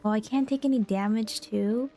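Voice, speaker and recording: A woman game streamer giving casual, low-key commentary in short muttered reactions as she plays. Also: moderate pace, mellow, soft background music.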